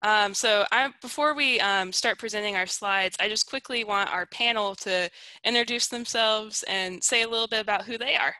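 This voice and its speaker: Woman, thirties, webinar host, bubbly, encouraging, bright voice, dry headset sound. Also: mid-range voice, moderate pace, dry recording, low-quality recording. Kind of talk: upbeat webinar moderation. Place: home video call.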